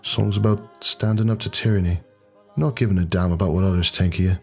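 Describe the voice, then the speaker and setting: A man playing a gruff, sardonic, world-weary hard-drinking rebel in a fan audio drama. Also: low voice, fairly even intonation, brisk, darkish tone, faint music behind. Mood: somber, reflective. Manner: sardonic, blunt.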